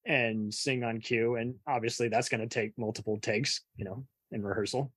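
A man's voice: mid-range voice, fairly even intonation, moderate pace, fairly bright tone, breathy edge, no room sound. A man hosting a casual interview, rambling through his opinions like a keen film buff.

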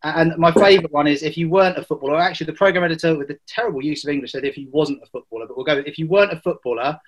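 A man with a Welsh accent hosts a podcast in a relaxed, joking way, his voice pitched a little high. He stumbles now and then over his questions but keeps the banter going. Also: very fast, rough recording. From Wales. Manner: jokey, hesitant with fillers. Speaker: podcast host. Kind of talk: casual podcast chat.

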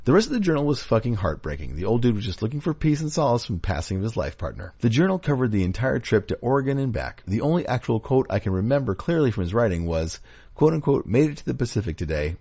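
A man's voice: medium pitch, big pitch swings, fast, warm tone, slightly rough, dry recording. A man reading written anecdotes aloud as a podcast host.

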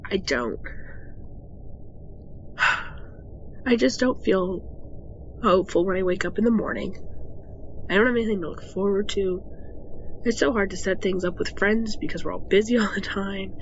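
A woman's voice: medium pitch, normal speed, pauses often, natural voice. An actress playing a weary, unhappy young woman in an audio drama, hesitant and exasperated. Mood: downcast, defensive. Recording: dull, slightly noisy recording with ambience.

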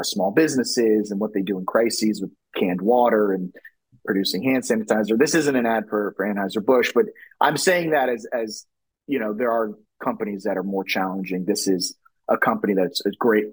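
Husky low-voiced man, rambling speech. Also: no room sound, low-quality recording. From Louisiana. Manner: rambling, many restarts and "you know" fillers. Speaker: chief brand strategist, podcast guest. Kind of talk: conversational podcast interview.

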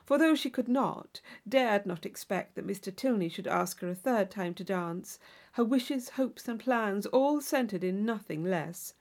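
A woman's voice: medium pitch, lively intonation, measured pace, darkish tone. Woman reading a classic novel expressively. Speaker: audiobook reader. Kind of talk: scripted literary narration.

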